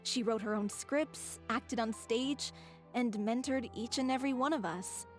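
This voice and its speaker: A woman voicing a sincere young actress in a theater troupe in a video game, gentle and wistful. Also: a little high, a little slow, lightly acted. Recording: game soundtrack music behind.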